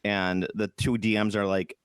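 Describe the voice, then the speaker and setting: A man's voice: fairly low pitch, level tone, normal speed, clear, resonant tone, no room sound, studio quality. A man co-hosting a casual gaming podcast, joking and laughing often, who slips into silly put-on accents. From Polish background. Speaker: podcast co-host. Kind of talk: casual chat.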